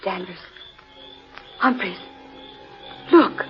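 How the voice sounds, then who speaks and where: A woman's voice: mid-range voice, lively intonation, drawn-out words. An actress playing a frightened, distressed woman lost in a haunted forest, anguished and melodramatic in old-time radio horror style. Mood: fearful. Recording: muffled old radio recording with music.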